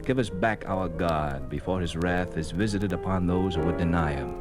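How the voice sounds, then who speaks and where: A man's voice: low voice, moderate pace, loud music behind the voice, close to an everyday voice. An actor playing a smug, curt secret policeman for a totalitarian regime in a 1950s radio drama. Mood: declaiming. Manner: curt, sardonic, tough. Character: hard-boiled male secret policeman, smug regime enforcer. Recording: vintage 1950s radio recording.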